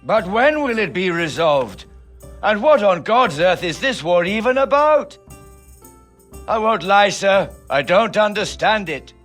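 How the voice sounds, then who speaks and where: A man's voice: high-pitched, sing-song, a little slow, a bit bright, faint music behind, full character voice. A man playing an earnest, deferential priest in a video game, with a hesitant, respectful and worried manner. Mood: troubled. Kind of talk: video game cutscene acting.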